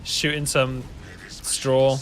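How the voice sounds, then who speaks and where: A man's voice: medium pitch, completely flat delivery, very slow, noisy background. A man in his thirties from West Wales with a Welsh accent, chatting casually to his stream while he plays, swearing often and laughing easily. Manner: swears casually, laughs a lot, rambles to chat. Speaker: game streamer. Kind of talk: casual live-stream chat. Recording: music playing behind.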